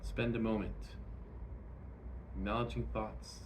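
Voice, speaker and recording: A man leading a guided meditation, speaking gently and instructively in short phrases with frequent pauses. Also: fairly low pitch, level tone, noisy background.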